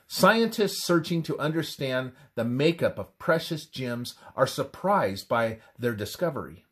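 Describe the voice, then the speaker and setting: A man reads a scripted religious text aloud in a measured, deliberate manner, pausing between phrases. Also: mid-range voice, husky. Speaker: reader of a religious presentation. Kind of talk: scripted devotional narration, read aloud.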